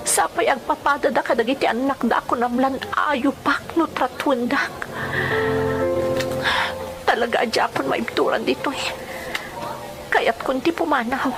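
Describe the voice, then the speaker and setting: A poor, long-suffering Ilocano domestic helper played by a woman in an emotional radio drama. Her voice is fairly high and plaintive, pleading as she goes. Music plays clearly behind her.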